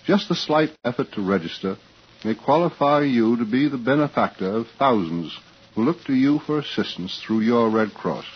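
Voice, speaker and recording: A man giving a formal, scripted radio endorsement as a charity chairman, reading in a measured way. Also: mid-range voice, lively intonation, slightly rough. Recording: dull vintage radio broadcast recording.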